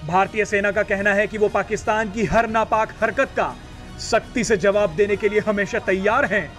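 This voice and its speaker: A man's voice: sits high, fairly even intonation, moderate pace, a bit bright, soft background music. A man reading a scripted television news report as a narrator.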